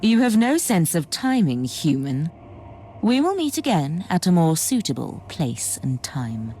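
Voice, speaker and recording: A woman playing a serene, superior alien harlequin in a video game, speaking slowly in condescending riddles. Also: fairly low pitch, very animated, background noise. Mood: disdainful. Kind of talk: dramatic video game acting.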